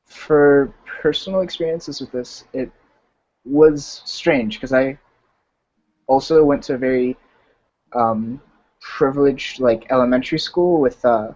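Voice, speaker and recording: An eighteen-year-old man with an American accent, sharing personally in a halting, reflective way over a video call. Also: mid-range voice, darkish tone. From Arizona, United States. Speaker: discussion participant, college student. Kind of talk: casual personal sharing in online discussion. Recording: dull, lo-fi video-call audio.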